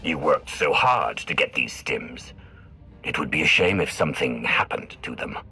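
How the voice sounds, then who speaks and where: Deep, sharp, commanding Sith. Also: male voice, expressive, breathy edge, faint music behind, full character voice. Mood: cool menace. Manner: controlled, authoritative, measured threats. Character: commanding, coolly menacing male Sith agent. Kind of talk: video game cutscene acting.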